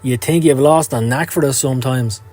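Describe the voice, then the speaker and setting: A man's voice: medium pitch, moderate pace, darkish tone, lightly acted. A Scottish man playing a cynical, down-at-heel occult hustler, telling his story in a sardonic, streetwise way for a motion comic. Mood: brooding. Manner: sardonic, streetwise, foul-mouthed. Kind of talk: dramatic first-person comic narration. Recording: music under the voice. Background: music.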